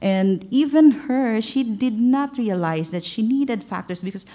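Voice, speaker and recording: A woman's voice: fairly high pitch, expressive, measured pace, mellow. A woman on a conference panel sharing her experience as a patient advocate, hesitant and full of fillers, speaking into a table microphone in a large hall. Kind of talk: panel discussion.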